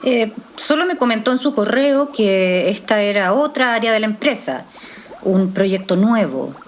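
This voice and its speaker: Actress as courteous young career woman, guarded, mid-pitched, naturalistic audio drama, faint background noise. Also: lively intonation, measured pace, lightly acted. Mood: reserved. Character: polite, reserved young professional woman newly arrived.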